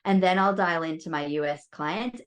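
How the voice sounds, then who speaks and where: A chatty Australian woman with a notably deep, low-pitched voice talks through her work as an interior designer. She rambles casually and easily, peppering her sentences with "you know.". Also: moderate pace. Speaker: interior designer and business mentor.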